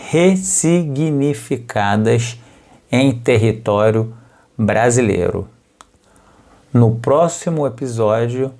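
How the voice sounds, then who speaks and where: A man with a Brazilian Portuguese accent teaches in a podcast. His voice is mid-low and relaxed, with a mellow tone. He goes extremely slowly, breaking sentences into short, carefully pronounced phrases so learners can follow. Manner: deliberate, short phrases with pauses, clearly enunciated for learners. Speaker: podcast host and capoeira teacher. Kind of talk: slow educational narration for language learners.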